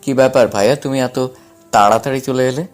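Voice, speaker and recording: A man's voice: mid-range voice, fairly even intonation, fast talker, faint music behind, close to an everyday voice. A man playing a confident, defiant young romantic hero in an audio drama. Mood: calm, casual. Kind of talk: dramatised audio story dialogue.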